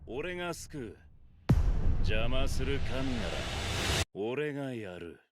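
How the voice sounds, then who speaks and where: An actor playing a defiant anime hero in dramatic dialogue, vowing to save people. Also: male voice, mid-range voice, big pitch swings, unhurried. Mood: defiant, solemn. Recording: loud music over the voice.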